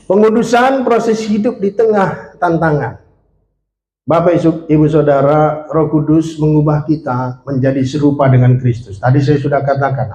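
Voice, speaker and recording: A man's voice: fairly high pitch, dark tone. A man in his late forties preaching a sermon with an Indonesian accent, drawing in the congregation with questions and calls for amen. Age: 40s. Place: church. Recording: echoey church hall.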